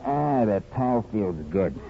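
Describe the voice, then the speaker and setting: Muffled old radio with some noise. a smug racketeer in a low voice, casual and slangy, fully at ease, in 1950s radio drama style. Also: male voice, animated, measured pace, vocal fry. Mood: smug, relaxed. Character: self-assured racketeer relaxing in a barber's chair.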